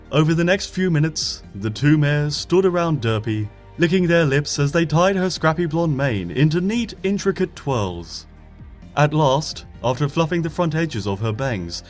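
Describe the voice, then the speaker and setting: A man reading a fan story aloud as an expressive narrator, often dropping to a hush at sentence ends. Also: a little high. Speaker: fanfiction reader. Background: soft background music.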